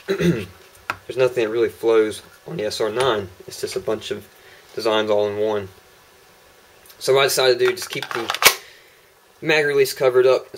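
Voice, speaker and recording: A man's voice: mid-range voice, rough recording. A young man casually demonstrating holster prototypes on camera, rambling with lots of ums and uhs. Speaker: holster maker showing prototypes. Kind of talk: casual product demo vlog. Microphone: camera mic on a tripod.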